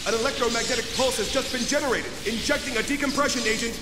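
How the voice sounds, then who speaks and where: A man's voice: very high voice, fast, heavy background noise. A man playing an alarmed scientist aide in a video game cutscene, reporting with clipped urgency. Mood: panicked, urgent. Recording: echoey hall, loud music.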